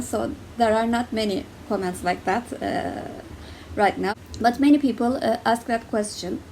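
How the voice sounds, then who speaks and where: A woman's voice: high-pitched, vocal fry, darkish tone, slight background noise, poor audio. A young woman with a Turkish accent, talking casually to camera about books, hesitant with many fillers and restarts. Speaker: book vlogger.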